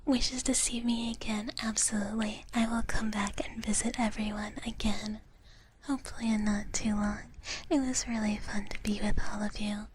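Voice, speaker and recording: A woman hosting an ASMR livestream in a breathy whisper, speaking soothingly and gently repeating her phrases. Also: mid-range voice, level tone, moderate pace, a little hiss or hum, rough recording. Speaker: livestream host.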